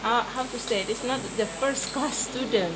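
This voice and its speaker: A woman university teacher with an Indonesian accent, speaking in a workshop discussion, often pausing to search for words. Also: fairly high pitch, noisy background. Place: workshop room. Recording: crowd chatter in background.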